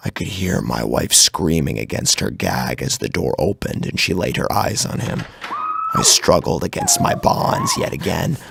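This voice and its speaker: A man's voice: low voice, gravelly, slight background noise. A man narrating a horror story in the first person, in a grave, brooding, dramatic manner.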